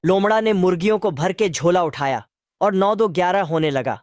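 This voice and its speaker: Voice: medium pitch, moderate pace, darkish tone, dry recording, studio quality. Chatty slangy cartoon recap narrator. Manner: asks viewers to comment.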